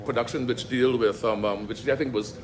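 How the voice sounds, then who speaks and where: Guy from the crowd grilling the speaker, talks fast n keeps repeating himself, faint hiss behind. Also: medium pitch, steady tone. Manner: insistent, repeats phrases, many restarts. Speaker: audience member questioning the speaker. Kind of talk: heated public forum questioning. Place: public meeting hall.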